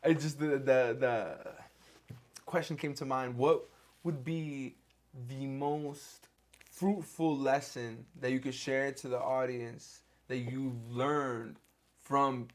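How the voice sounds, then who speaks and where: Man, podcast host, mid-pitched voice, relaxed, repeats phrases. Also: slow. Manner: easygoing, backchannels and repeats himself. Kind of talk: casual conversation.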